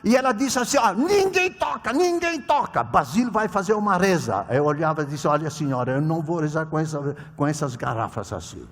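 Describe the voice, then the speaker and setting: An older male pastor, not a native Portuguese speaker, preaching a sermon with a personal story, swinging between loud proclamation and quiet storytelling. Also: fairly high pitch, expressive, a little fast, hardly any pauses, slight background noise. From immigrant to Brazil. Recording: reverberant hall.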